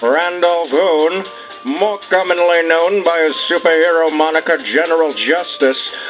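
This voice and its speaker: Casting: solemn male newsreader, formal delivery, slightly high voice, music underneath. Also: measured pace, a bit bright, low-quality recording. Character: serious male radio news reporter. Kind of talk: formal scripted news report.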